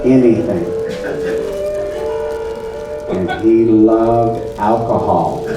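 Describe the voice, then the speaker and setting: Slow, pompous deadpan man, very echoey. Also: fairly low pitch, highs cut off. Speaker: puppet show presenter and narrator. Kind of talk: mock-solemn comedic stage narration. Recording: very echoey, distant mic, music behind.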